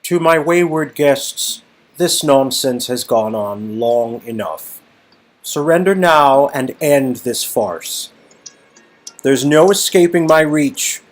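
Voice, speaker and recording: An imposing male villain, played by an amateur reader in a game drama. He declaims slowly and grandly, raised above normal talk, with music behind. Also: medium pitch, expressive, pitched above the performer's own voice. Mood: menacing. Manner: slow, commanding, grandiose. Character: imposing, pompous male villain ruling by screen.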